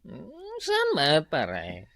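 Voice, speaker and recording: A man playing an easygoing hard-drinking husband in a radio comedy drama, drawling with swooping pitch. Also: wildly swooping pitch, very slow, gravelly, fairly bright tone, a little hiss or hum, exaggerated voice. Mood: evasive.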